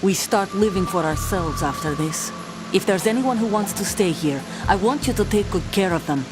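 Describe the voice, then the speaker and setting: An actress playing a defiant, bitter young mercenary commander in a video game, with a curt manner. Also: female voice, mid-range voice, expressive, normal speed, over music, close to an everyday voice. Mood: firm, resolute. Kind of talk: dramatic video game cutscene acting.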